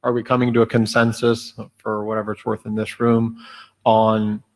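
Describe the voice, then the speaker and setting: Male meeting chair, fairly low pitch, flat moderating delivery. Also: normal speed. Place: standards meeting room.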